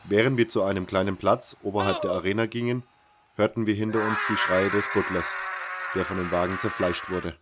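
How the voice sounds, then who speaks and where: Fantasy audio drama lead: man playing wary nameless convict narrator, deep register, brooding and measured. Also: steady tone, heavy background noise, natural voice. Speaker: first-person narrator.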